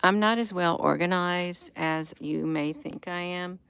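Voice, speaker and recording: A woman's voice: lowish voice, a little slow, vocal fry, fairly bright tone, clear, resonant tone. An American woman chatting casually and in a rambling way at home, repeating words and phrases for emphasis. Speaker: vlogger. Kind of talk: casual rambling chat, showing gadgets. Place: indoors at home.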